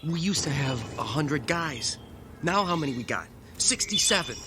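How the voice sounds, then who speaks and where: An actor playing an anxious, plain-spoken army private in a radio drama, brooding and prone to stammer. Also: male voice, a little high, animated, normal speed, noisy background, lightly acted. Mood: panicky. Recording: clear outdoor insect ambience.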